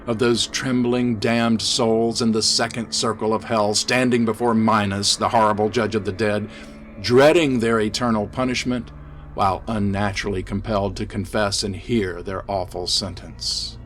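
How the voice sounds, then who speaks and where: A man reading a gothic horror story in a measured, theatrical, ominous manner, as an author narrating his own tale. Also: on the low side, fairly even intonation, a little hiss or hum. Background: music.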